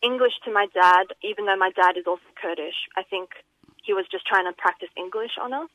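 A woman's voice: mid-range voice, brisk, no echo, narrow, tinny audio. A young woman from Iran, a radio guest who talks in a chatty, slightly nervous way. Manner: chatty, giggly, a bit nervous. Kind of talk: casual radio interview.

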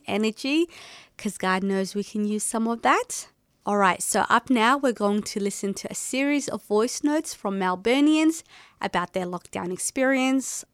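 A woman's voice: mid-range voice, smooth, no echo, clean studio sound. A woman hosting a community radio program, announcing songs and segments. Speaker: radio host. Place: radio studio.

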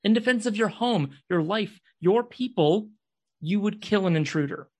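A man's voice: high voice, animated, moderate pace, darkish tone. An intense young man in a radio drama, played by a male actor. He is distraught and angry, a climate radical who presses his case insistently and accuses as he goes. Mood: impassioned.

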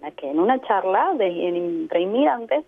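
A woman's voice: mid-range voice, very animated, normal speed, creaky. An Argentine woman from Mendoza, a guest on a radio interview, speaking thoughtfully and explaining her points, often checking in with short tag questions. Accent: Argentine Spanish. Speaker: guest, immigrant sharing experience. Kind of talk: reflective radio interview by phone. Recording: tinny phone line.

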